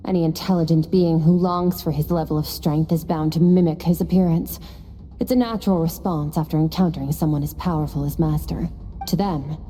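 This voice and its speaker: Woman as an aloof female game warrior, low voice, very dark and mellow, stoic, measured, matter-of-fact mentor. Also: natural voice. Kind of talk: video game character acting.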